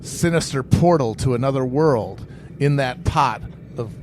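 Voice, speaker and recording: A man playing a tabletop game, improvising horror narration in an ornate, halting, melodramatic manner. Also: medium pitch, soft background music.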